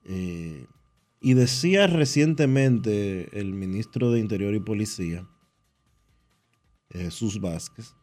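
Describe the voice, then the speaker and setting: A man, co-hosting a radio sports show from the booth and giving his opinion in an earnest, measured way with hesitant fillers. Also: on the low side, lively intonation, darkish tone, faint music behind. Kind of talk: radio commentary.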